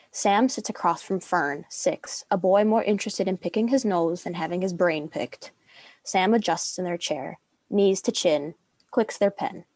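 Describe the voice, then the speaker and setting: A woman narrates a screenplay, reading out stage directions. Her voice is on the low side, and the recording is dry with almost no room sound. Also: mellow, no room sound. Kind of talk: scripted narration.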